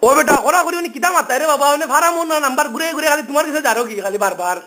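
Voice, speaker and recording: Man, Sylheti accent, bright high voice, pleading and repetitive, informal phone chat. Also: expressive, rapid-fire. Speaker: phone caller. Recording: phone call recording.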